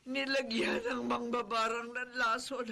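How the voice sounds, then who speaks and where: A stricken old healer woman in a radio play, voice pitched high and bright, words dragged out slowly through the pain, and she never lets the urgency drop. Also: level tone. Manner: pained, urgent. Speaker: folk healer. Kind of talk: radio drama acting.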